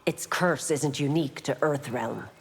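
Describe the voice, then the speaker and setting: An actress playing a stern, authoritative officer of the law in a fantasy fighting game, firm, formal and skeptical. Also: female voice, sits low, unhurried, creaky, long reverb tail, slight background noise. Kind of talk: video game pre-fight banter.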